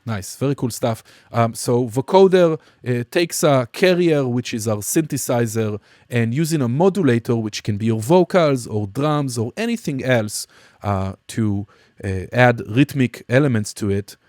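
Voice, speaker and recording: A man giving an informal, explanatory software walkthrough as a music production instructor. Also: mid-range voice, expressive, moderate pace, no echo, clean studio sound. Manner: casual, explanatory. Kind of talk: screen-recorded software tutorial, informal walkthrough.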